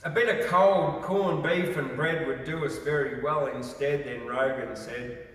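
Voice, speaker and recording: A man gives a theatrical, expressive dramatic recitation of poetry as a storyteller. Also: medium pitch, measured pace. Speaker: poetry reader. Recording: very echoey, reverberant, distant from mic.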